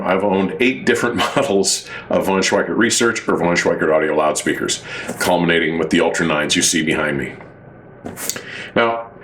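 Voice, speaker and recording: A man hosting an audio review, speaking to camera in formal, wordy, measured phrasing as if from prepared notes. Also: low voice, a bit bright, a little hiss or hum. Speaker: audio reviewer and show host. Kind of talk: semi-scripted product review monologue to camera.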